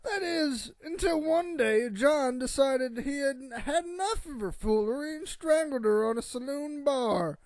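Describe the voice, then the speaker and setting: Comic radio play: ancient, cantankerous old man, high-pitched, heavily creaky, rambling and crude. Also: animated, a little slow, hardly any pauses, darkish tone, no echo. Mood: grandiose, testy. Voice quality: creaky, gravelly old-timer voice. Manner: rambling, cantankerous, folksy storytelling with profanity. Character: crotchety, crude, boastful world's oldest man, born 1824. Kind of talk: comedic radio theatre interview.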